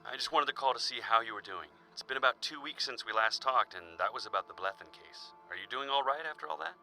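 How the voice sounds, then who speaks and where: A man acting as a police chief in an audio drama, concerned but firm, speaking in a measured, earnest way. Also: medium pitch, creaky, bright, forceful tone, soft background music, low-quality recording.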